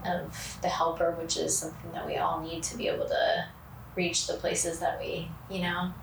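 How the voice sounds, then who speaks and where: A woman hosting a podcast in a casual chat, with a soothing, even cadence and many fillers. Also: lowish voice, moderate pace, creaky. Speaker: podcast host. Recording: roomy, distant mic, faint noise.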